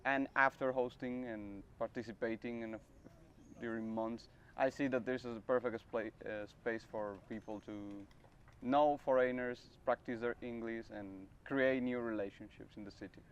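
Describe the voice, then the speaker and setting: A man's voice: mid-range voice, fairly even intonation, normal speed, smooth, dull audio. A man in his twenties from Spain, a volunteer, speaking hesitantly while searching for words. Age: 20s.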